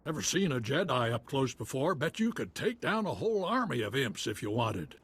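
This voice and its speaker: An actor playing a wry, folksy militia commander on a frontier world in a video game. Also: male voice, mid-range voice, very animated, a little fast, fairly bright tone, breathy edge, heavily acted. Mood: wry, urgent. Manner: folksy, clipped colloquial speech.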